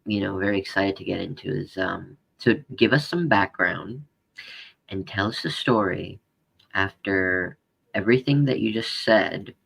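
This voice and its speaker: A man hosting a podcast, asking slow, drawn-out questions. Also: low-pitched, creaky, fairly bright tone, slightly rough, poor audio. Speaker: podcast host. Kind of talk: interview questions.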